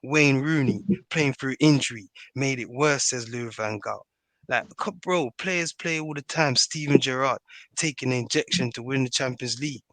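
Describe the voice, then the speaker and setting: A man's voice: medium pitch, normal speed, a bit bright, no room sound, poor audio. A man debating football casually, slangy and argumentative, often checking that listeners follow him. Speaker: football podcast panellist.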